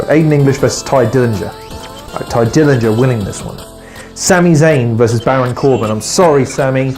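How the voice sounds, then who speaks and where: A man's voice: medium pitch, darkish tone. A man hosting his own podcast alone, rambling through predictions, swearing often and losing his words. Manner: swearing, rambling, with fillers and trailing restarts. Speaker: podcast host. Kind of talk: solo podcast monologue.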